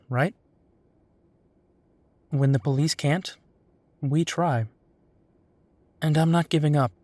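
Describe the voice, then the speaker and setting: Casting: male actor for a dogged werewolf private eye in a roleplay audio drama, affectionate and teasing, slow delivery, dry close sound. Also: medium pitch, a little mellow, no room sound, lightly acted. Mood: determined, serious. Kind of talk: roleplay audio drama, intimate one-sided dialogue.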